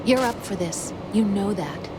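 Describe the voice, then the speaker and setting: An actress playing a supportive young woman in a video game, gentle and encouraging. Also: mid-range voice, a little slow, background noise, lightly acted. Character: supportive young female partner over radio link. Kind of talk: video game cutscene acting.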